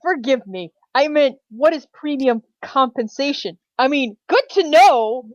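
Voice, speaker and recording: A woman's voice: sits high, expressive, a little slow, dry recording. A woman chatting casually as a player in a tabletop game, on a slightly muffled microphone.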